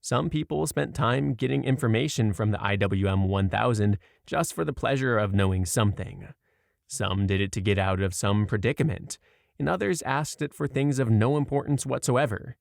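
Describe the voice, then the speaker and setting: A man narrating a short story aloud in a measured, clearly read storytelling delivery. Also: on the low side, no room sound, clean studio sound.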